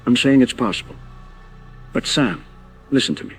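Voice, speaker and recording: An actor playing a grim informant in an audio drama, speaking in grave, measured and emphatic warnings. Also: male voice, mid-range voice, expressive, breathy edge, soft background music. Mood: urgent warning. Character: grim male informant warning of bioweapon outbreak.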